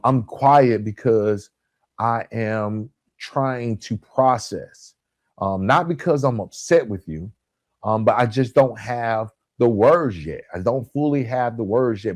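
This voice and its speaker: Man giving a deliberate, emphatic motivational talk. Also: fairly low pitch, no echo. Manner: emphatic, deliberate, repeats key points for effect; colloquial, conversational asides. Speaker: podcast host. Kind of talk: motivational relationship coaching talk.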